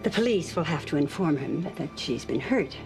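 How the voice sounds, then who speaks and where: An older, genteel grandmother in a soap opera, fiercely protective and anxious. Her voice sits a little low with a creaky grain. She speaks in halting, emphatic phrases over a clear music bed. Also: lively intonation. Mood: worried, indignant. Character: protective, anxious well-bred grandmother at a hospital bedside. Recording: music behind the voice.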